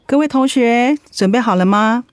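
Gentle, patient woman teacher, acted. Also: a little high, normal speed, clear, resonant tone, no room sound, natural voice. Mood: brisk classroom authority. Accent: Taiwanese Mandarin. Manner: gentle, coaxing. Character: caring, patient female high school homeroom teacher. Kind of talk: radio drama acting.

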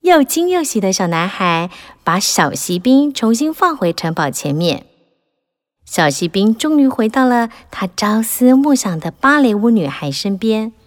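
Animated woman telling a fairy tale. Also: mid-range voice, unhurried. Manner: animated, expressive, rising and falling with the drama. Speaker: storyteller. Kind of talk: scripted narration of a children's fairy tale.

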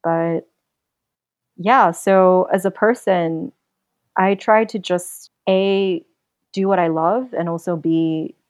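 Thoughtful young woman, low-pitched and dry. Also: steady tone, darkish tone, no room sound. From United States. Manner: thoughtful, earnest, measured. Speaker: podcast guest. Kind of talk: casual interview.

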